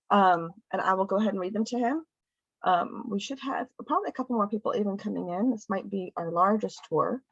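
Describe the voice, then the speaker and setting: A woman's voice: medium pitch, no room sound, muffled recording. A woman hosting an online event, introducing a guest in a friendly, hesitant way with many ums. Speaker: event host. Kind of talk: casual introduction.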